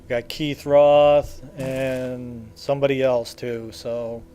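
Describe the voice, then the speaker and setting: A man giving casual video game walkthrough commentary in a rambling, halting, matter-of-fact way. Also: mid-range voice, steady tone, mellow. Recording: faint game engine noise behind.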